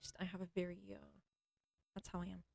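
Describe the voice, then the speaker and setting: Young woman rambling on stream, blunt and crude, keeps clearing her throaty voice, dead dry sound. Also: on the low side, flat delivery, brisk, a little mellow, dry recording. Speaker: livestreamer. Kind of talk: casual rambling monologue. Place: at home with a cat.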